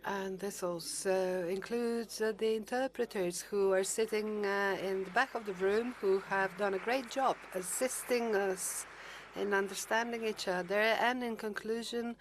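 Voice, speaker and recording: A woman chairing a conference who gives formal closing thanks in a measured way, pausing and restarting now and then. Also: medium pitch, steady tone. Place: large conference hall.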